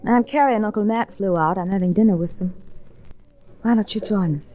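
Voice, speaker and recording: A woman's voice: medium pitch, a little fast, close to an everyday voice. Muffled old-radio actress as a poised society woman. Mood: flirtatious. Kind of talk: 1940s radio drama acting. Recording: muffled, noisy 1940s radio recording.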